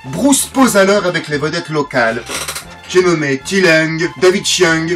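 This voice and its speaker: A man's voice: fairly high pitch, lively intonation, measured pace, fairly bright tone, soft background music. A French man hosting a channel, narrating film history in a casual, joking way, often stumbling over names and mocking himself. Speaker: channel host and collector.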